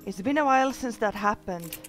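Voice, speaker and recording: A woman's voice: on the high side, expressive, brisk, a bit bright, soft background music. A woman voicing a guarded teenage girl's wry, reflective inner thoughts in a visual novel.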